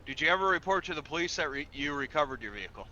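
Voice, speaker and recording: A man playing a male police officer in a game roleplay, with a sharp, forceful and slightly high voice. He gives procedural instructions patiently and formally, repeating himself. The audio is a little dull, with faint noise behind it. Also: expressive, racing. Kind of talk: improvised online game roleplay.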